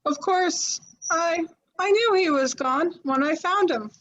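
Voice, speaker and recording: A woman playing a flustered high-voiced widow. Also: takes it slowly, fairly bright tone, muffled recording, exaggerated voice. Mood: shaken. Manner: breathless, stammering, hesitant. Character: flustered middle-aged widow, woman, quick to defend herself. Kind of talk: 1940s radio acting.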